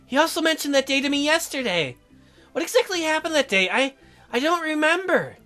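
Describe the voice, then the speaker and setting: An earnest teenage girl from a dating sim, played by a man, speaks in a bright, high voice. Her pitch swings widely as she questions and exclaims, with faint music behind her. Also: big pitch swings, a little fast, heavily acted. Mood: puzzled, worried. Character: teenage girl heroine, earnest, curious, excitable. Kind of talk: dating sim dialogue read aloud.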